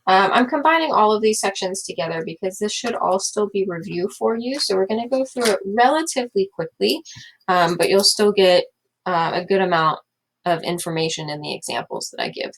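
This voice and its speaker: Young female teacher, slightly scratchy voice, calm explanatory lecture delivery. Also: medium pitch, a little fast. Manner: steady explanatory pace with fillers. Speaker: math teacher. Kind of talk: recorded classroom lecture.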